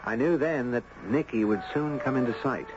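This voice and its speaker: A man playing a courteous, curious storyteller, gentle, thoughtful and well-spoken, in a muffled, noisy 1970s radio drama. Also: mid-range voice, normal speed, lightly acted. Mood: reflective. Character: courteous, curious man, narrating his own strange tale.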